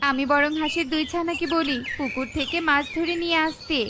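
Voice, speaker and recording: Woman voicing a bossy, high-pitched scheming cartoon bird auntie. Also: fairly even intonation, fairly quick, noisy background, close to an everyday voice. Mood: bossy, grumbling. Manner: scolding, demanding.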